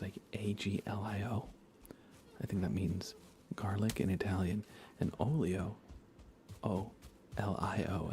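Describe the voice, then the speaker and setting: An American man speaking in soft, breathy whispers, chatting casually and unhurriedly to viewers of an ASMR livestream. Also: sits low, a little hiss or hum. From United States. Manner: hushed, unhurried, conversational.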